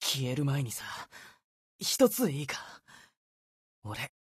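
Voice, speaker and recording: A man voicing a brash, boastful young sword warrior for an anime-style game, youthful and husky-edged, with cocky, casual boyish speech. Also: medium pitch, very animated, slow pace, no echo, studio quality, full character voice. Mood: weak, somber. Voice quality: youthful, bright, husky edge.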